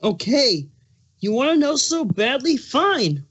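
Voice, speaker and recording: Moody pregnant changeling creature, played by a man: high-pitched, irritable, sarcastic cartoon diva with a huge appetite. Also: very animated, slow, a bit bright, slightly rough, full character voice. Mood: irritated, demanding. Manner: snappish, touchy, sarcastic. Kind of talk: cartoon fan fiction dramatic reading.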